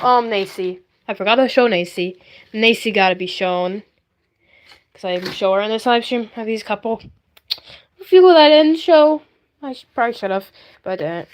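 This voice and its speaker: A woman hosting a casual livestream, rambling in a playful, silly way as she shows things off. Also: on the high side. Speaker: livestream host. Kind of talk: casual chatty livestream, showing handmade puppets.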